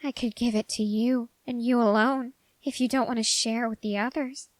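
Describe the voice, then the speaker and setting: A woman voicing a dark, obsessive young man in an ASMR roleplay, speaking formally in deliberate, halting phrases that can slip toward a whisper. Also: a little high, fairly even intonation, dry recording, close to an everyday voice. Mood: pleading, intense. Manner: silky, formal menace, slipping into whispers.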